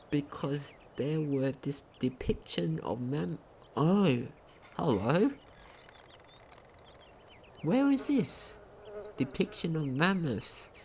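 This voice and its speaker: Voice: mid-range voice, gravelly, a little mellow. A gaming commentator talking casually and reading in-game text aloud, sometimes stumbling over words. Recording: home recording with faint noise.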